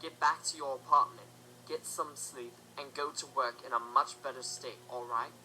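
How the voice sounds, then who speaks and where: A woman's voice: rumbling low, steady tone, normal speed, sharp tone, a little hiss or hum, exaggerated voice, poor audio. A woman voicing a blunt but caring pony tavern keeper in an audio drama, firm and no-nonsense.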